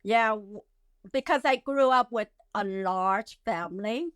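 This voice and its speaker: Slow, halting woman. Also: mid-range voice, fairly bright tone, clean tone, dry recording, studio quality. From Vietnam. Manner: slow, halting, with many fillers. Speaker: guest, skincare founder. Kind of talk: casual interview talk.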